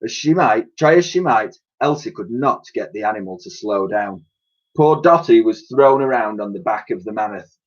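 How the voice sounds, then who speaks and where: A man reading a children's storybook aloud in an expressive, dramatic way, as a teacher would to pupils. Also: on the low side, moderate pace. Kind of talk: animated children's storybook narration. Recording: dull, lo-fi recording.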